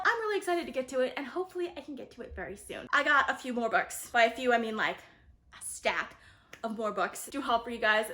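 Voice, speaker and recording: A woman's voice: high-pitched, pushed and bright. A woman chatting casually to viewers like a vlogger, enthusiastic and gushing, with lots of emphatic repetition. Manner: enthusiastic, gushing, frequent "really" and "like". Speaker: book reviewer and vlogger. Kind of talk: casual chatty book haul talk to viewers.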